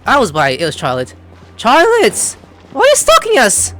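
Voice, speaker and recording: A woman's voice: fairly high pitch, huge pitch swings, moderate pace, fairly bright tone, soft background music. A woman giving casual, playful and exclamatory reactions as she plays through a video game. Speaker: gaming video host. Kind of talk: casual gameplay reaction commentary.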